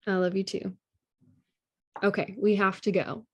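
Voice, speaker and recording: A woman's voice: medium pitch, level tone, a little slow, slightly rough. A woman reading a sassy, affectionate girlfriend in a naturalistic drama table read, playful and conversational. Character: sassy, affectionate girlfriend meeting boyfriend's family.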